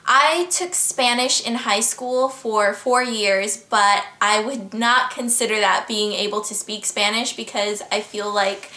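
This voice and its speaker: A woman's voice: medium pitch, measured pace, few pauses, bright, forceful tone, echoey room. A young American woman in her twenties, chatting casually to camera as she answers viewer questions, earnest and upbeat, with frequent laughs. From United States. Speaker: vlogger. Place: at home, indoors.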